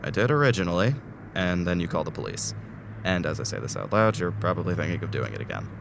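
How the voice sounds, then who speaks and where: A man's voice: low-pitched, big pitch swings, fast talker, vocal fry, noisy background, heavily acted. A man acting a desperate, tormented patient in a student audio drama, speaking in a weary, urgent, rambling way. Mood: exhausted, bitter. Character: desperate, tormented man trapped in torture loop.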